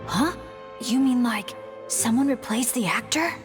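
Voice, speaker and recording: A woman's voice: on the high side, animated, unhurried. An actress voicing a cheerful, eager woman. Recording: game scene with faint music.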